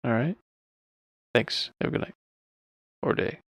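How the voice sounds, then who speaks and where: A man talking informally and offhandedly through a software tutorial as he works at his computer. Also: unhurried, no room sound, studio quality. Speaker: streamer giving a software tutorial. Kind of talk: casual screen-recorded tutorial.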